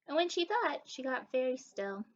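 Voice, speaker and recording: A young woman reads a picture book to little children. Her voice is high and swings widely in pitch, expressive throughout. The webcam sound is slightly dull. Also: normal speed. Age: young adult. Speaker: story time reader. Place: living room indoors.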